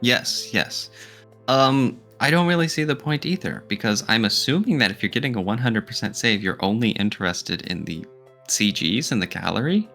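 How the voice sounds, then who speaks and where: A man co-hosting a podcast, chatting casually and informally about his opinions with animated reactions. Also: mid-range voice, normal speed, creaky, faint music behind. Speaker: podcast co-host.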